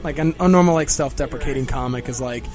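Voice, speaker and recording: A man who is a stand-up comedian, chatting and joking in an interview with a raspy voice, often clearing his throat. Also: mid-range voice, quick pace, music in the background. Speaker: stand-up comedian, feature act. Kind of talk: casual interview chat. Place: pizzeria comedy venue.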